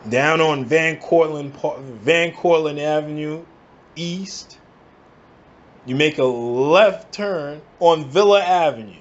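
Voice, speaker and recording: A man from the Bronx, ranting in emphatic bursts and tossing in "all right?" to keep his listeners with him. His voice sits a touch higher than most men's. The recording is dull and lo-fi. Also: takes it slowly. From the Bronx, New York. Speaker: vlogger. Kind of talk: angry commentary rant.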